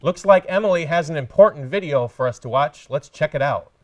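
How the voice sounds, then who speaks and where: A man's voice: a little high, expressive, a little fast, distant mic, a little hiss or hum. Man reading school announcements. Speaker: student news co-anchor. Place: studio with curtain backdrop.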